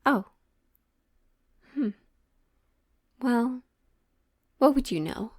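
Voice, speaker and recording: Slow-talking witch fortune teller played by a woman. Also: sits high, animated, a little mellow, no echo, studio quality, full character voice. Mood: indignant, firm. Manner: slow, deliberate, theatrical, with pondering pauses. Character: witch tarot reader, mysterious, teasing, gently bossy woman. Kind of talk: improvised audio roleplay, addressing the listener.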